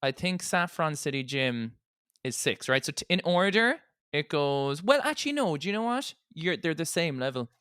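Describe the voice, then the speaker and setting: A man's voice: on the high side, very animated, normal speed, a bit bright, clean tone, no echo, clean studio sound. A man co-hosting a podcast, bantering casually and jokily with plenty of swearing as he narrates a game playthrough. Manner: foul-mouthed, jokey. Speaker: podcast co-host.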